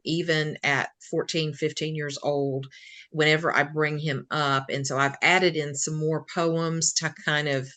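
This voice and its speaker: A woman's voice: deep voice, fairly bright tone. An American woman co-hosting a podcast interview in a casual, chatty and informal way. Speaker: podcast co-host, English teacher.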